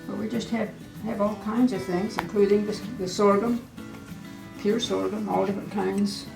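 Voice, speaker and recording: A woman in her eighties giving an informal, halting, plainspoken guided tour of the small museum and shop she keeps. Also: mid-range voice, steady tone, gravelly, music in the background, rough recording. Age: 80s.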